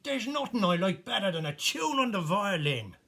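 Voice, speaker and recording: A man's voice: high voice, very animated, normal speed, vocal fry, pushed and bright, full character voice. A man voicing a friendly, whiskery, pipe-smoking tramp in a children's storybook, genial and appreciative. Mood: genial, pleased.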